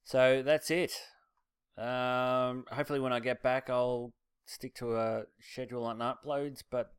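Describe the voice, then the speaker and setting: A man's voice: mid-range voice, level tone, unhurried, clear, resonant tone, no room sound, clean studio sound. A man with an Australian accent, casually talking a camera through his motorcycle and a planned road trip, rambling with plenty of ums and uhs. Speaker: motorcycle vlogger. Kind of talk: casual vlog narration.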